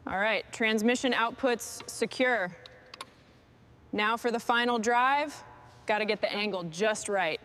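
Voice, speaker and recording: A woman's voice: a little high, unhurried, a bit bright, slight background noise. A woman speaking short, scripted remarks and commands as a machine restorer at work.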